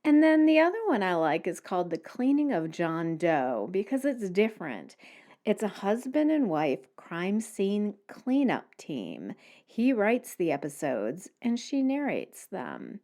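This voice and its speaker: A woman podcast host giving casual recommendations in a solo monologue, speaking in a conversational, measured way. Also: medium pitch, big pitch swings, dark tone.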